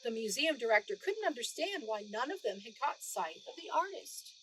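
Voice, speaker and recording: A woman narrating a children's storybook aloud in an expressive read-aloud style. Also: fairly high pitch, moderate pace, a little hiss or hum, poor audio. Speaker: storybook reader.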